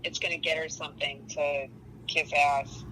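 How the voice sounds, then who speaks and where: Blunt lady doing an astrology reading, super bright edgy voice, kinda low, bit of noise. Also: level tone, normal speed, low-quality recording. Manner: blunt, matter-of-fact. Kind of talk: casual one-on-one astrology reading.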